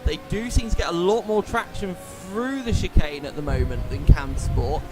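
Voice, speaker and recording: A man with a high, lively voice calls a sim race, a little nasal and posh in sound, chatting through racing lines as he goes. Loud engine roar and music sit under his voice, and his pitch swings up and down freely. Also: normal speed. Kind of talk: live race commentary.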